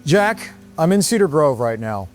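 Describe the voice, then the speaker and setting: A man's voice: fairly high pitch, big pitch swings, normal speed, soft background music. A man playing an earnest field news reporter, giving a live report with emphatic, concerned storytelling. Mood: earnest, grave. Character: earnest male field reporter, shaken by events.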